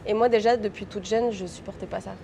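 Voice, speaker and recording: A young French woman with a French accent, chatting as an interview guest and telling stories in a lively, talkative way. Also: mid-range voice, quick pace, slight background noise. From France. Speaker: guest, real estate broker. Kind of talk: casual interview chat.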